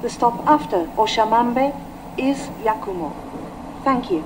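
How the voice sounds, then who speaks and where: A woman's voice: fairly high pitch, expressive, slow. A woman reading a scripted, pre-recorded announcement as an automated train announcer. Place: played over speakers inside moving train. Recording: noisy train carriage speaker.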